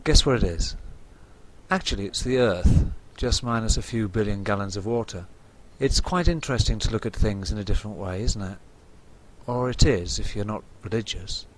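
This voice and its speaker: A man's voice: fairly low pitch, moderate pace, rough recording. A man delivering a reflective, eloquent scripted monologue to viewers, with a wry and rhetorical manner. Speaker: video blogger.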